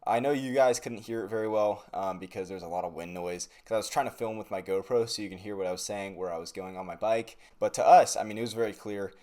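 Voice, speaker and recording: A man's voice: on the low side, fast, hardly any pauses, mellow. A young man giving a casual, conversational product review to camera in a laid-back, slangy way. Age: young adult. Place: small bedroom, indoors.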